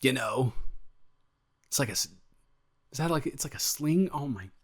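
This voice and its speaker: Casting: male actor for a sweet, easily flustered young boyfriend in intimate audio roleplay, mid-pitched, halting and stammering, eager and smitten. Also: lively intonation, creaky. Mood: flustered, rambling. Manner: stammering, halting, trailing off and correcting himself.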